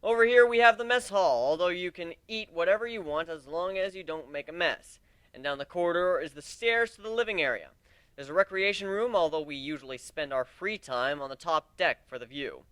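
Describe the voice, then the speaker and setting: A man voicing a brash, cocky young griffin captain in a dramatic story reading, with a sarcastic, excitable manner. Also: on the high side, brisk, smooth, dry recording, close to an everyday voice. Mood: upbeat, announcing.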